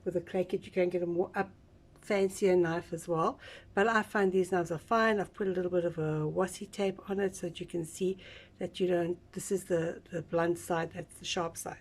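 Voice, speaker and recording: A woman with a slightly croaky voice, giving a chatty, relaxed step-by-step craft tutorial with small asides. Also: lowish voice, moderate pace. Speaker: craft instructor.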